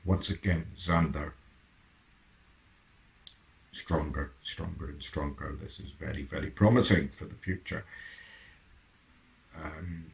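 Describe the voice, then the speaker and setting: Slow low creaky guy doing a casual reaction, kinda musing and halting. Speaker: reaction video host. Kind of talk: casual episode reaction and review.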